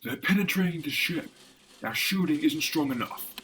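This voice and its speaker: A man voicing a gruff, hot-headed pilot in a dramatic comic-book reading, blunt and combative. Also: fairly high pitch, expressive, moderate pace, fairly bright tone, slight background noise, voice pushed up. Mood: grim, alarmed.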